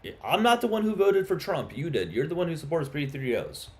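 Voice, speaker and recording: A man's voice: a little high, sing-song, fast. A man hosting a live stream, chatting casually with viewers and arguing insistently with callers. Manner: chatty, turns heated and insistent in arguments. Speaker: livestream host.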